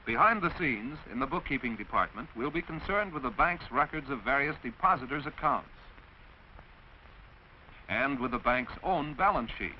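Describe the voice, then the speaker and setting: A man's voice: mid-range voice, muffled recording, a little hiss or hum. An American man narrating a scripted 1940s instructional film in a didactic, carefully enunciated way, on an old film soundtrack.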